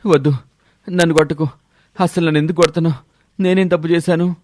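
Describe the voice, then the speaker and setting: A man voicing a lazy, complaining villager in an animated moral story, with a whining manner. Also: a little high, brisk, close to an everyday voice. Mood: pleading.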